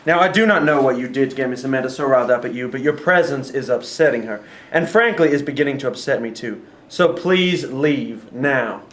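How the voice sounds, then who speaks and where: A man reading the part of a dignified army major in a staged play reading, polite but steady and firm. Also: medium pitch, a little fast, natural voice. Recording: echoey room.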